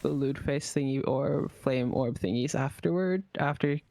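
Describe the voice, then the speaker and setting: A man's voice: medium pitch, a little slow, vocal fry, dark tone. A man co-commentating a live speedrun race, chatty and asking his partner questions. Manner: chatty, asks questions, laughs often.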